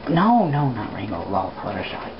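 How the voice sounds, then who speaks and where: A woman's voice: a bass voice, big pitch swings, moderate pace. A woman reading fan fiction aloud as an amateur, casual and blunt in her delivery. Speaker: reader. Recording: muffled, noisy home recording.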